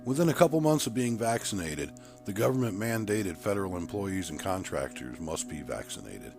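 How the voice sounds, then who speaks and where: A man reading his own story aloud as a narrator, in a steady, even tone. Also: low-pitched, moderate pace, creaky, music in the background.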